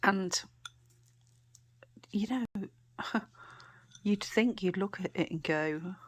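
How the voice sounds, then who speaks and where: A British woman vlogging casually to camera, chatty and rambling, her voice a bit croaky. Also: mid-range voice, drawn-out words, slight background noise. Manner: chatty, rambling with many fillers and restarts.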